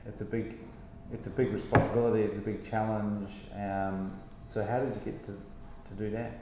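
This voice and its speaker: A man hosting a casual interview, encouraging his guest with frequent affirmations and halting restarts in his questions. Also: fairly low pitch, flat delivery. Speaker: podcast host. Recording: very roomy reverberant hall, faint noise.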